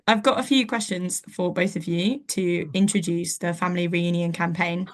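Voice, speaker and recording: A woman's voice: fairly low pitch, steady tone, normal speed. A young woman, a communications intern, hosting an interview with brief, friendly questions.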